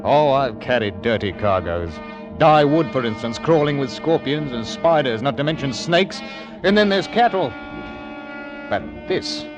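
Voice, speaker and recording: Man acting a gruff convict-ship captain, haunted and irascible, dramatic swings in pitch, vintage radio play, music behind. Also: close to an everyday voice. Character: embittered, blustering captain of an 18th-century convict ship.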